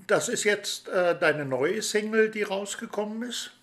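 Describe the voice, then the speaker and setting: Chatty male interviewer, slightly high voice. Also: unhurried, fairly bright tone. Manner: friendly, rambling questions with many fillers. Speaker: interview host. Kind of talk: chatty radio-style interview.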